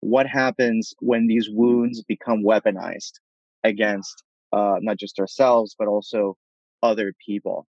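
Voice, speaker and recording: A man from Puerto Rico speaking as a thoughtful podcast guest, laying out his ideas in articulate, measured phrases. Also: on the low side, little pitch movement, a little mellow, no room sound, highs cut off. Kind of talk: reflective interview conversation.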